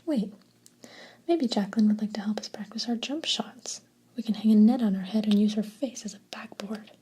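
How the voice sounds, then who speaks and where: A woman voicing a teasing, cocky boy in a breathy whisper. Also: medium pitch, moderate pace, dark tone. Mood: mocking. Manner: whispered, mocking.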